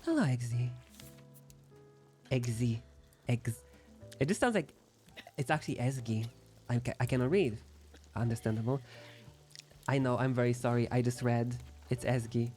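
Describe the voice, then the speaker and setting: A man's voice: mid-range voice, normal speed, pauses often, a little mellow, faint music behind. Playful rambling young male streamer. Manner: rambling, playful, self-deprecating. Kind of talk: casual chat while gaming.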